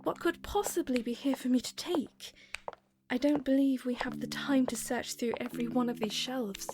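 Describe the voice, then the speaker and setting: A woman's voice: sits high, fairly even intonation, moderate pace, natural voice. A woman voicing a young princess who is curious and composed, speaking in formal, thoughtful phrases. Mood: puzzled. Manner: thoughtful, formal phrasing, stammers when flustered. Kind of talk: fan audio drama. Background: music.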